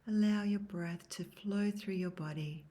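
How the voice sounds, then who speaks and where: Slow, hushed woman guiding meditation. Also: mid-range voice. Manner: soft, breathy, hushed, near-whispered delivery; calm, measured, soothing instruction. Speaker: guided meditation instructor. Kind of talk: guided yoga nidra meditation, scripted.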